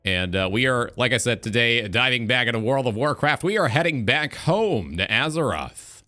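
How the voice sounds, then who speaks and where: Bright-voiced, animated man hosting a livestream. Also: medium pitch, moderate pace. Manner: informal, swearing freely. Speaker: live stream host. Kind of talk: casual livestream talk show.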